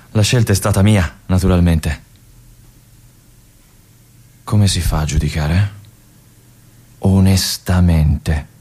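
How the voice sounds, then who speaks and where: A man's voice: deep voice, a little mellow, breathy edge. A man playing a brooding young lieutenant. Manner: confiding, brooding, halting asides. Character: young male army lieutenant, restless and uneasy. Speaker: stage actor in a radio monologue. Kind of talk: theatrical monologue.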